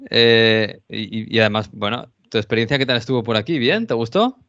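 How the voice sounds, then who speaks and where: A man's voice: fairly low pitch, brisk, a bit bright, no echo, lo-fi sound. A man with an accent from Spain hosting a sports show, interviewing in a casual, conversational way.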